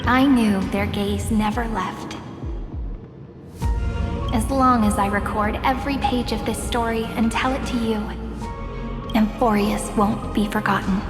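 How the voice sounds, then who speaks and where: Highish, echoey, wistful young female storyteller voiced by a woman. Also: fairly even intonation, measured pace, long pauses, natural voice. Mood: wistful, tender. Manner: soft-hearted, reflective, intimate. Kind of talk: video game story acting. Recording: echoey, long reverb, music behind. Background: music.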